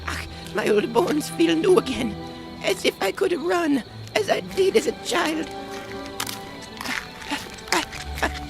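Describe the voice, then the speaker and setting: Old creaky lady, stammery and worn out, high and slow. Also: exaggerated voice. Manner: halting, stammering speech. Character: weary old woman wanderer, humble and devout. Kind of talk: audio drama acting. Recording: music under the voice.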